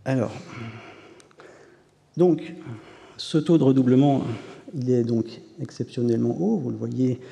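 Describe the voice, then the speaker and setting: Man, very dark voice, academic lecture, hesitant, lecture hall reverb. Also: medium pitch, measured pace, gravelly, pauses often. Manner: hesitant, many "euh" fillers and restarts. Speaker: university professor giving a conference talk.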